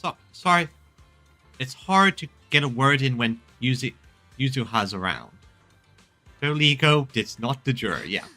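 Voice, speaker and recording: A male streamer comments casually on a game in a very bright, forceful voice of average pitch. He speaks haltingly, doubling back on words, over faint music. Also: big pitch swings. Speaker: gaming streamer.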